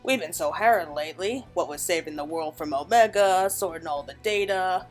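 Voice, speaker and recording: A woman's voice: fairly low pitch, moderate pace, faint music behind, lightly acted. A woman voicing friendly, wry engineers from a fantasy game in a light conversational read-aloud.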